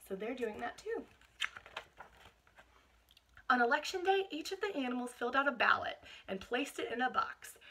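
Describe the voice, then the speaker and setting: A woman reading a picture book aloud to children in an expressive teacherly way, stopping to explain things. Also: fairly high pitch, husky. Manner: expressive, explains words and asks questions to young listeners.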